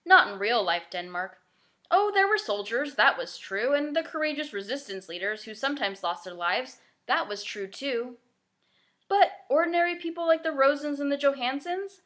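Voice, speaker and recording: A woman narrating a children's novel as a scripted, expressive read-aloud. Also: high voice, moderate pace.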